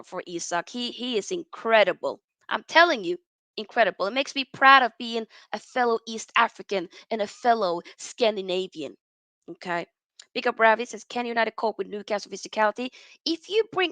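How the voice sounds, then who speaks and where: A woman's voice: mid-range voice, no room sound. Chatty young woman host, dry close mic. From Norway. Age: 20s. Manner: animated, chatty, many restarts and slang. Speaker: football channel host. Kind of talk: live-stream football chat reading viewer messages.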